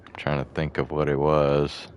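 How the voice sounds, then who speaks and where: A man's voice: extremely low pitch, completely flat delivery, moderate pace, highs cut off, slight background noise. A young man streaming a game, chatting casually in a rambling, blunt way and swearing freely. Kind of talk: casual gameplay commentary.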